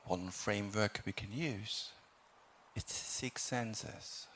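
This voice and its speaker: A man teaching a guided meditation, speaking in calm, measured phrases with long pauses between them. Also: on the low side, a little hiss or hum. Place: meditation hall.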